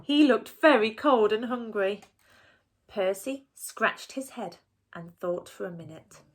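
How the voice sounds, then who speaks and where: A woman reading a picture book aloud for young children in an expressive, deliberate storytelling way. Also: medium pitch. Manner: animated, deliberate, with asides to the listeners.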